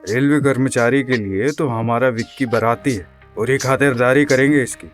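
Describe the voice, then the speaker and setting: A man's voice: medium pitch, moderate pace, natural voice. A man playing a kindly, caring middle-aged father in an audio story drama, with music behind him. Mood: fatherly, caring.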